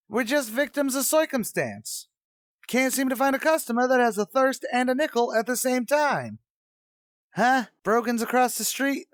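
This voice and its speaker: A man's voice: extremely high pitch, no echo, studio quality. A man playing a slangy, blustery, scheming New tavern manager in an old-time radio comedy, mangling his grammar as he wisecracks.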